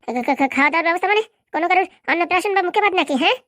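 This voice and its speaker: Woman voicing nervous simple villager, comedy cartoon, stammering pleas, very rapid talk, dry sound. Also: very high voice, lively intonation, a bit bright, no room sound. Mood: nervous pleading. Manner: stammering, pleading. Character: timid, flustered simple villager hauled off by police. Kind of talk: Bengali comedy cartoon dialogue.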